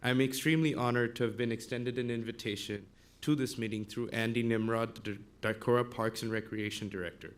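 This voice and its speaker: A man's voice: medium pitch, fairly even intonation. A young man from Bangladesh in his twenties, giving a formal prepared speech as a student representative at a council meeting. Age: 20s. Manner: formal, measured delivery of a written speech. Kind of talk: prepared public address. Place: council chamber.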